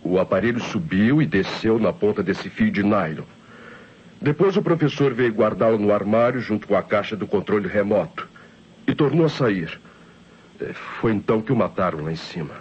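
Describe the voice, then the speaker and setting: An earnest Brazilian assistant in an old radio mystery. His voice is lowish and very husky, explaining things seriously. The recording is dull and aged. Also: lively intonation, normal speed, a little mellow. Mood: earnest, confessing. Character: earnest male assistant to a murdered professor, confessing secrets. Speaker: radio actor.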